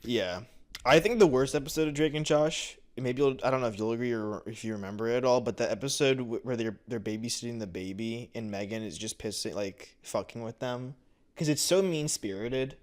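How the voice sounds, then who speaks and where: A man's voice: mid-range voice, brisk. A young American man co-hosting a podcast, chatting casually in a blunt, opinionated, sweary way. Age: young adult. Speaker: podcast co-host. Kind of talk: casual chat.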